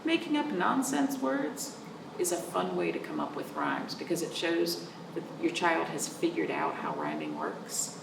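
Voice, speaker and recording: A woman hosting storytime for young children, reading aloud in an animated sing-song way with chatty asides to her listeners. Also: on the low side, unhurried, vocal fry. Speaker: children's storytime host at a library. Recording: roomy, distant microphone with noticeable background noise.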